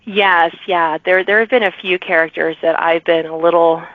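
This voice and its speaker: A woman with a lowish voice hosts a podcast and chats in a loose, halting way that is full of restarts. The sound is dull and lo-fi, with a dry, close feel. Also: steady tone, dry recording. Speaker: podcast host. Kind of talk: casual interview chat.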